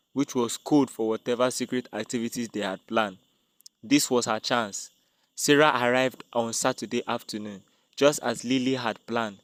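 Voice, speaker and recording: A man's voice: medium pitch, a bit bright, no room sound. A man narrating a dramatic scripted tale as a storyteller.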